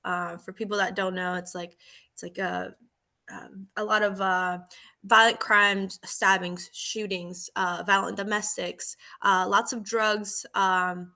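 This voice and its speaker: A woman from Argentina, a police officer in her thirties, telling her story in a relaxed, chatty podcast interview. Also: mid-range voice, slow, gravelly.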